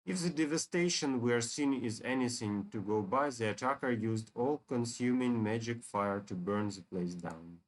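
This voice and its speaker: A man's voice: mid-range voice, moderate pace, hardly any pauses, close to an everyday voice, low-quality recording. Man reading as a solemn fantasy hero. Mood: grave. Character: earnest fantasy heroes returning to a ruined dragon village.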